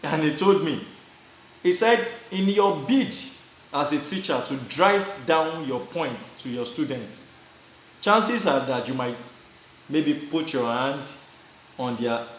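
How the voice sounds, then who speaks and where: A man's voice: medium pitch, a little hiss or hum. Nigerian man in an echoey room. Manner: repeats and restarts phrases, chuckles while telling. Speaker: vlogger giving advice. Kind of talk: casual advice talk to camera.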